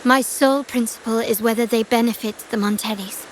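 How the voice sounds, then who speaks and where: A woman voicing a poised young noblewoman of a crime family in a video game, coolly loyal, with formal, measured speech. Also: on the high side, fairly even intonation, a little hiss or hum, natural voice. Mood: cool, deferential. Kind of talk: scripted video game voice acting. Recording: background music under the voice.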